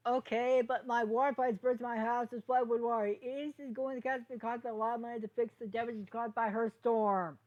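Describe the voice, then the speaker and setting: A woman's voice: on the high side, fairly even intonation, a little fast, few pauses, pitched above the performer's own voice, natural voice. Woman putting on an indignant, raised-voice pony townsperson. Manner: loud, indignant. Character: aggrieved pony townsperson, complaining about damage. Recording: dull, lo-fi sound.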